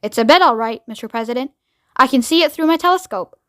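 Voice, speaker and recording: Woman acting a deferential male astronaut, high voice, earnest, eager to please, children's audiobook, dry. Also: lively intonation, fast, a bit bright, dry recording. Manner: earnest, fawning.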